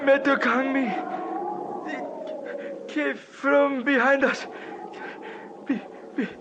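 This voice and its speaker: A muffled, noisy old radio recording carries a solemn Himalayan mountain guide. He speaks in a high voice and halting, formal phrases, very slowly and with grave resignation. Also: fairly even intonation, full character voice. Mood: pained, shaken. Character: injured Himalayan native guide, grave, respectful and fatalistic. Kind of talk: 1950s radio drama acting.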